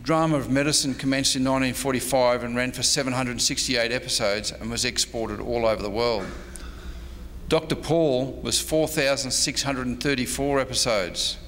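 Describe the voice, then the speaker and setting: A man's voice: mid-range voice, steady tone, normal speed, a bit bright. A man, a historian giving a prepared lecture read from notes at a convention. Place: convention presentation room. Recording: noisy, reverberant hall with hum.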